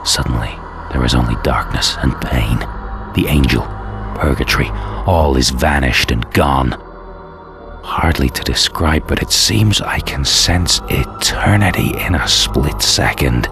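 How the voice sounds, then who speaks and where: Very deep-voiced, doomed game antihero. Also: male voice, unhurried. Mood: grim awe. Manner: brooding, intense, theatrical. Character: damned, vengeful male antihero, bitter and doomed, recounting his fate. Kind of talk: dramatic first-person game cutscene narration. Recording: loud dramatic music behind.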